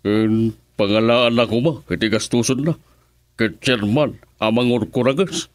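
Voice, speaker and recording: An actor playing a grumbling, wealthy political boss in a radio serial, terse, with an Ilocano accent. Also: male voice, mid-range voice, measured pace, no echo, natural voice. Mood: disgruntled. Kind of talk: radio serial drama acting.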